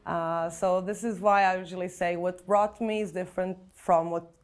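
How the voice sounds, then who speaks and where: A woman's voice: fairly low pitch, fairly even intonation, moderate pace, very noisy. A woman in her thirties from Portugal, speaking English with a Portuguese accent as a nurse recounting her experience in a reflective interview, with frequent restarts. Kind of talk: personal testimony interview. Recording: faint background music.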